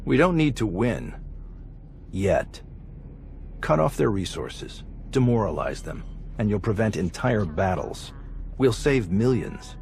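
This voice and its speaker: A roguish secret agent in a sci-fi game. His low voice is smooth-talking, cocky and teasing, with faint noise behind it. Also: very animated, takes it slowly, pauses often, a little mellow, full character voice. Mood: confident, persuasive. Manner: breezy, charming, teasing. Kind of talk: video game cutscene acting.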